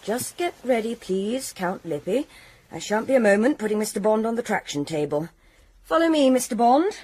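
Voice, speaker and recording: An actress playing a brisk, reassuring young female clinic therapist in a radio drama, matter-of-fact and soothing. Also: a little high, expressive, mellow.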